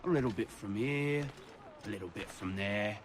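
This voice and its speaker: An actor playing a low-born ruffian torturer in a video game, speaking in a rough, colloquial, relishing sing-song. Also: male voice, normal speed, natural voice. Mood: sadistic relish. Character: sadistic low-born male ruffian torturer, gleefully proud. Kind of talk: video game cutscene acting. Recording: noisy ambience behind the voice.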